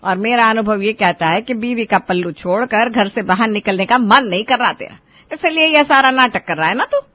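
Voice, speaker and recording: A woman plays a sharp-tongued middle-aged mother in a storybook drama, scolding and mocking her lazy son in a fairly high voice. The recording sounds dull and lo-fi. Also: normal speed, close to an everyday voice. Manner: scolding, sarcastic.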